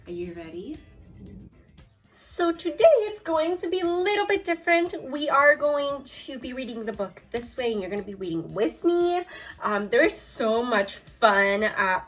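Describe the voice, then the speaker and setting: A woman with an American accent teaching a read-aloud lesson to young children, expressive and speaking straight to her pupils. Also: a little high. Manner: animated, expressive, addressing pupils directly. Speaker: elementary school teacher.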